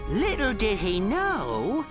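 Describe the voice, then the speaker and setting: An actor playing a meek robot pirate first mate who narrates a story, eager to please and a bit nervous. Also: male voice, high-pitched, wildly swooping pitch, slow, exaggerated voice. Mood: storytelling. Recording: game audio with music behind.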